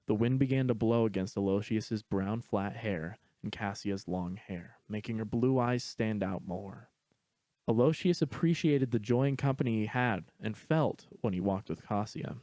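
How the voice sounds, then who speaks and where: A man's voice: on the low side, normal speed, mellow, dry recording. A man reading a scripted story aloud as its narrator.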